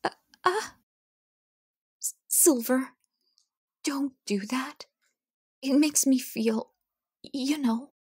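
A breathy, fairly high voice for a reserved young cat princess in a cartoon, acted by a woman. She speaks hesitantly and gets flustered easily, in a dry recording. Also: very slow, pauses often, no echo, heavily acted. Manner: soft, hesitant, stammering. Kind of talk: cartoon comic dub acting.

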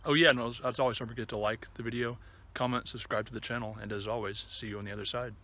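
A man in his thirties chatting casually and a bit haltingly to the camera as he walks a trail outdoors. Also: mid-range voice, fairly even intonation, bright, forceful tone. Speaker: hiking vlogger. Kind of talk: casual vlog chat to camera while walking. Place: outdoors on a wooded trail and open meadow. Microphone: phone or camera at arm's length. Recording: outdoor recording with clear ambient noise.

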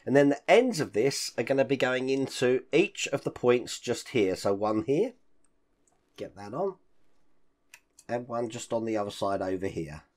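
A man in his fifties with a hoarse, deep, rough voice, casually talking through a model build step by step as a channel host. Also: expressive, unhurried, a little mellow. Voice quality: deep rough voice with occasional coughs. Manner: chatty, explains each step while handling parts. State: hoarse, croaky voice after illness. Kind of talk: casual hands-on build walkthrough. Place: home hobby room full of collectibles.

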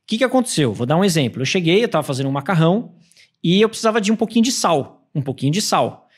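Podcast guest in his thirties: a man with a higher voice who tells anecdotes with plenty of energy. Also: quick pace, husky. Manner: animated storytelling, acts out quoted people. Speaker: podcast guest, Airbnb host. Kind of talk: casual podcast chat. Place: podcast studio. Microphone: studio mic with headphones.

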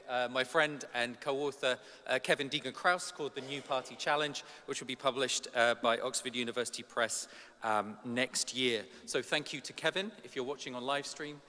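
A middle-aged man delivers an academic lecture in a large reverberant hall. His voice is bright and sits a little high. He hesitates often, filling gaps with ums. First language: English. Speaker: academic conference speaker. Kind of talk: conference lecture. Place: large ornate hall indoors. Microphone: gooseneck podium microphone.

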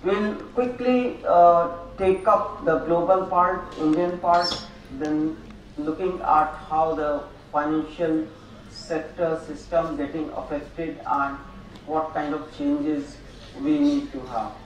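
An older man speaks slowly from a lectern in a large, very echoey auditorium, his voice picked up from a distance. He pauses often and fills gaps with hesitations as he walks through his slides. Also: a little high, fairly even intonation. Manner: slow, halting lecture with frequent fillers. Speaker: keynote speaker. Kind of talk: academic conference keynote. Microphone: podium microphone, room sound. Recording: echoey hall, voice from afar, faint noise.

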